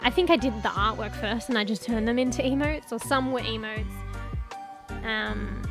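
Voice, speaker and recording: A woman streamer chatting casually with her viewers, rambling with lots of fillers and the odd swear, her voice going a bit hoarse. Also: on the high side, moderate pace. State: losing her voice, coughing. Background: music.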